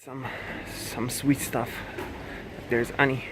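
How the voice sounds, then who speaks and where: Young man, Turkish accent, halting speech, lots of "like", phone mic, noisy store behind. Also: medium pitch, level tone. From Turkey. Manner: halting, many fillers and self-corrections. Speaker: vlogger. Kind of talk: casual walk-through vlog. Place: large supermarket indoors. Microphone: handheld phone camera. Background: store ambience.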